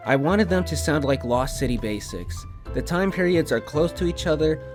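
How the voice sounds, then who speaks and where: A man giving scripted narration as a voice actor presenting his own work. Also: medium pitch. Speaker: voice actor and video creator. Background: music.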